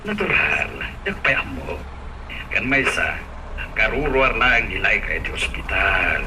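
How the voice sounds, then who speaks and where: A man's voice: lowish voice, very animated, a little slow, noisy background. An actor playing a devoted, reassuring father in a radio drama, speaking in a companionable way.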